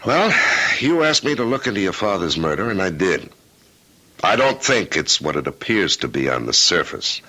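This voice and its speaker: A man with an American accent playing a blunt, cautious private detective in a radio drama, speaking deliberately. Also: sits low, sing-song, bright, forceful tone, full character voice, low-quality recording. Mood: measured, frank. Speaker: actor.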